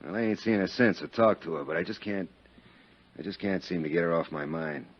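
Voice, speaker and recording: An actor in a 1950s radio drama playing a crude, boastful, jealous Chicago businessman, rambling and overfamiliar in folksy roughneck diction. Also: sits low, a little fast. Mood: indignant, jealous. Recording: dull vintage 1950s radio recording.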